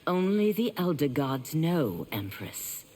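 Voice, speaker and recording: A woman's voice: deep voice, sing-song, slow, heavy background noise, exaggerated voice. An actress playing an earnest, formal woman warrior in a video game, grave and respectful in manner. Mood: sombre, earnest. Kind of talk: dramatic video game dialogue.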